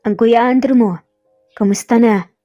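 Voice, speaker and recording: A woman's voice: a little high, moderate pace, warm tone, no room sound, studio quality, soft background music, lightly acted. A Filipino woman voicing a smitten, brooding young businessman in a romance story, feigning composure and mixing in English. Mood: feigned cool, probing. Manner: code-switches between Filipino and English.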